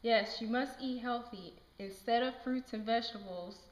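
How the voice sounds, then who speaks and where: A woman with a slightly high voice recites health facts as a guest in a student skit. She is hesitant and giggly. The room rings with echo and has background noise. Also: moderate pace. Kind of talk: amateur scripted explaining, stumbling and giggling. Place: indoor room, amateur student video.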